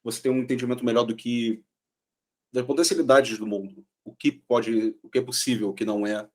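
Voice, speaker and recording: A man's voice: mid-range voice, steady tone, a little fast. Brazilian man, earnest podcast co-host. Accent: Brazilian Portuguese. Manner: earnest, ends points with "certo?". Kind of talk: casual interview chat.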